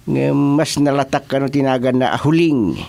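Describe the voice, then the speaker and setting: A male actor with an Ilocano accent playing an excitable, joking village senior in a rural comedy radio drama. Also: medium pitch, moderate pace, vocal fry, lightly acted. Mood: teasing, amused. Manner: teasing, animated, colloquial.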